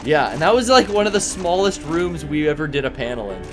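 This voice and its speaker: A man's voice: fairly high pitch, very animated, brisk, over music. A man in his thirties with a Californian accent, joking and swearing as he hosts a game stream. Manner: sarcastic, swears, playfully mock-formal. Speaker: stream host playing a video game. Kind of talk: casual banter while gaming.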